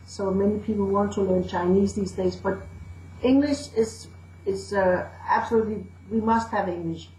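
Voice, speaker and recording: A woman's voice: medium pitch, normal speed, dark tone, reverberant, slight background noise, low-quality recording. A woman from Denmark with a Danish accent, a storyteller and educator chatting in an interview with fillers and restarts. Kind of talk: casual interview talk.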